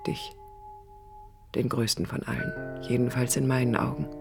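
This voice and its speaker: A woman's voice: very deep voice, wildly swooping pitch, normal speed, gravelly, over music, heavily acted. An actress playing a lonely, pensive young woman who speaks intimately and wistfully to her absent brother in a radio drama. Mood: tender, reflective. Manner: introspective, wistful, lyrical.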